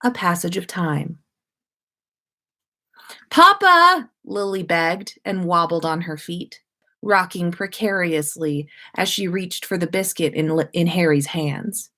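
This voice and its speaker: A woman narrates a story aloud. Her voice sits a little low for a woman, and she reads slowly and expressively, as a story-time host would. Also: steady tone, fairly bright tone. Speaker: host reading listeners' stories on a livestream.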